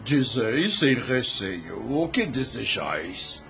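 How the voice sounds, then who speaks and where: A gravelly old reverend in a radio serial, formal and unctuous. He speaks slowly and haltingly, with swooping pitch and a mercenary courtesy, over music. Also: sing-song, pushed and bright, exaggerated voice. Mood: obliging. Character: old reverend abbot, courteous and money-minded. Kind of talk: radio drama acting. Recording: music under the voice.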